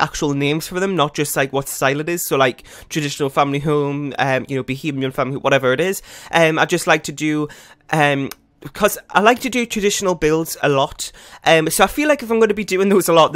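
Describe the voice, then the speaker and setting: Young male YouTuber, croaky morning voice, fast and chatty, dry close sound. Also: fairly high pitch, a bit bright, no echo. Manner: fast, rambling talk with many fillers. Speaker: game building YouTuber. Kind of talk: casual gameplay voiceover. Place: his bedroom at home.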